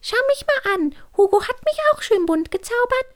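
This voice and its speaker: A woman's voice: very high-pitched, lively intonation, normal speed, voice pushed up. A woman voicing a childlike little girl chick in a children's storybook, coaxing and cheerful. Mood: cheerful, soothing.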